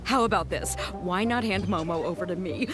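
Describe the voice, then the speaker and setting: A woman's voice: fairly low pitch, a little fast, natural voice. A woman playing a proud, fussy elderly village head in a video game, with a theatrical, blustering delivery. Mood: boastful, eager. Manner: theatrical, blustering, stammers when evasive. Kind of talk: video game character acting. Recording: game music behind the voice.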